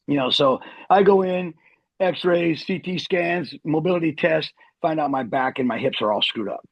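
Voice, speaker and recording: A middle-aged man, an Army veteran, telling long rambling stories in an animated way as a podcast guest. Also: on the high side, normal speed, gravelly, husky, no room sound, lo-fi sound. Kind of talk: storytelling interview.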